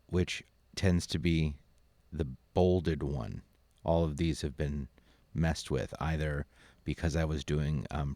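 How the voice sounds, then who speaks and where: Man, low-pitched, casual tutorial instructor, informal, thinking aloud, close dry recording. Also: a little mellow, dry recording. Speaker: audio software instructor.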